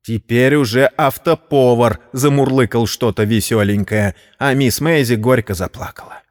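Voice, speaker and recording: A man's voice: mid-range voice, a little mellow, big-room sound. A man narrating a scripted story in a dramatic audio-play style.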